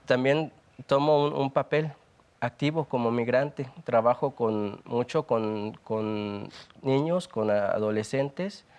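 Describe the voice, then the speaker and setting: A young man with a Mexican accent, speaking as a guest in a TV interview, earnest and reflective, often pausing and restarting his sentences. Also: mid-range voice, a little mellow, clean tone, lo-fi sound. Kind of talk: conversational TV interview.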